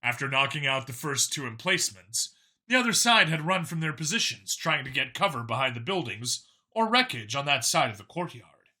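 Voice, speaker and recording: A man narrates an audiobook with a very bright, forceful voice at a middle pitch. His delivery is scripted and dramatic, clearly storytelling. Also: sing-song, a little fast.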